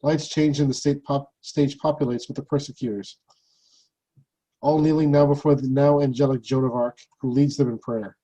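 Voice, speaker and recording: A man narrates a script's stage directions at a table read. His voice is mid-pitched and dark, with a relaxed, mellow tone. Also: normal speed, rough recording.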